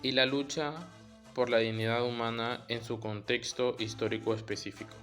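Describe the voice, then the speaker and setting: A man reading scripted educational narration in measured short phrases. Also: mid-range voice, level tone, a bit bright, faint music behind.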